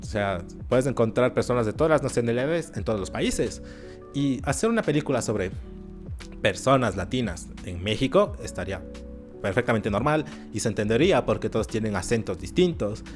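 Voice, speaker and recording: A man giving animated, sarcastic commentary in an opinion video. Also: mid-range voice, fairly quick. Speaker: YouTube commentator. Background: faint background music.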